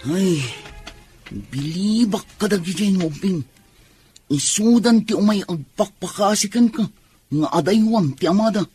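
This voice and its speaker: A woman playing a disapproving, compassionate confidante in a radio drama, husky and earnestly chiding. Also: medium pitch, a little slow, dark tone, faint music behind, lightly acted. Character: disapproving confidante pitying her lover's children.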